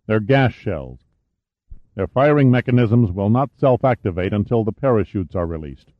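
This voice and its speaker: Man voicing a formal, low-voiced military colonel. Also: expressive, natural voice. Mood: stunned, grave. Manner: formal, measured, understated. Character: courteous, serious military colonel from Washington. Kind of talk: audiobook character dialogue. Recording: dull, roomy, echoey sound.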